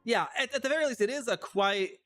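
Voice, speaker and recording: A man's voice: extremely high pitch, quick pace, pushed and bright, faint music behind. A man livestreaming casual game commentary, musing and reacting aloud in loose fragments.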